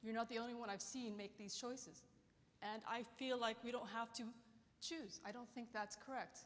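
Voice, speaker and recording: A woman's voice: fairly high pitch, fairly even intonation, moderate pace. A woman in a seated audience holds a handheld microphone and challenges the speaker, earnest and fluent. Her voice rings with the long reverb of a big conference hall. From Britain. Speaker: audience member asking a question.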